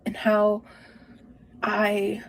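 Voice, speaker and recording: A woman vlogger talking confessionally about herself in a halting, introspective way. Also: medium pitch, monotone, a little hiss or hum, rough recording. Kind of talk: confessional personal talk.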